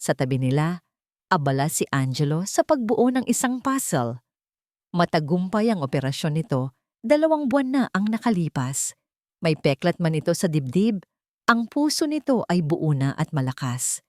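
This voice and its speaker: A woman narrating a scripted audio drama in an expressive, emotive storytelling manner. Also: on the low side, normal speed, no room sound, clean studio sound.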